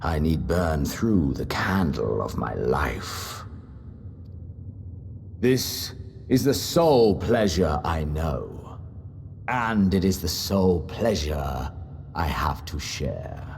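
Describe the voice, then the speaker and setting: An actor playing a battle-hungry, brooding warrior rival in a video game, with grave, theatrical, deliberate delivery and a gravelly voice. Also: male voice, very deep voice, big pitch swings, darkish tone, a little hiss or hum, full character voice. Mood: solemn intensity. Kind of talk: dramatic video game voice acting.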